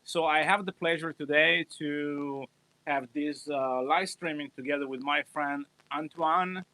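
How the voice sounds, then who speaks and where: A man's voice: on the high side, steady tone, unhurried, pushed and bright, no echo, rough recording. A man from Italy, a guitarist, chatting loosely on a livestream and joking at his own expense. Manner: rambling, self-mocking, chuckling. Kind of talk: casual livestream chat.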